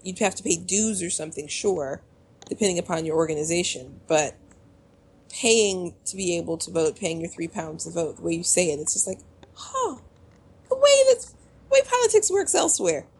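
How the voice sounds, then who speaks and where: A woman's voice: lowish voice, very animated, moderate pace, a little mellow, breathy edge. A woman with a Chicago accent, co-hosting a casual podcast chat, talking freely and trailing off between thoughts. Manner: chatty, trailing off and restarting. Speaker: podcast co-host.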